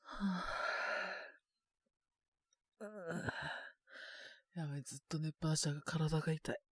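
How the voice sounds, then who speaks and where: A man's voice: fairly high pitch, steady tone, a little slow, a little mellow, breathy edge, no room sound, studio quality. A man playing a sick, feverish young boyfriend in an audio drama, exhausted and congested, muttering to himself between sighs. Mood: achy, grumbling. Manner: sighing, muttering to himself in fragments. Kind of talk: Japanese situation voice roleplay, audio drama.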